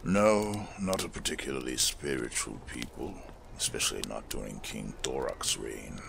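Very deep, gravelly weathered smith. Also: male voice, background noise, heavily acted. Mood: troubled. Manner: measured, reflective, weighty. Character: weathered male smith, former fire preacher, solemn foreign survivor. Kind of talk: fantasy audio drama acting.

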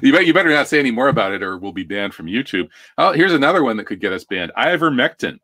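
A man's voice: mid-range voice, very animated, fast talker, sharp tone, dry recording. An American man hosting a conversational news commentary show in a wry, tongue-in-cheek manner.

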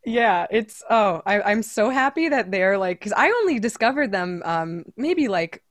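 A young woman with a low voice, chatting as a guest musician in a casual interview, laughing often and filling pauses. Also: animated, dry recording.

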